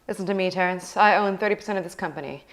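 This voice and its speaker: A woman's voice: lowish voice, racing, fairly bright tone. Brash, commanding businesswoman acted by a woman. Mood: commanding, incredulous. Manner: commanding, condescending, mocking. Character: brash, scheming female shareholder challenging an all-male board. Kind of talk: drama script table read.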